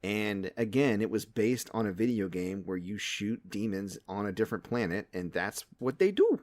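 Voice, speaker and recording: A man's voice: on the low side, expressive, moderate pace, a little mellow, no room sound. A man in his late thirties chatting casually about movies as a podcast co-host. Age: late 30s.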